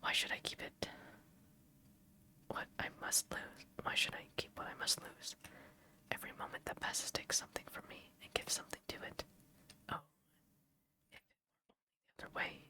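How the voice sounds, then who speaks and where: A beautiful young man in despair, spoken wholly in a hushed whisper. The whisper is crisp and bright, close and intimate. A reader whispers him out in an audiobook. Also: moderate pace. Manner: soft whisper.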